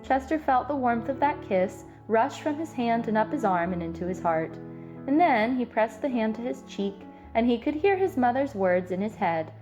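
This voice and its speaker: A woman narrating a children's storybook aloud in an expressive read-aloud style. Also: a little high, moderate pace, over music. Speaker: storybook reader.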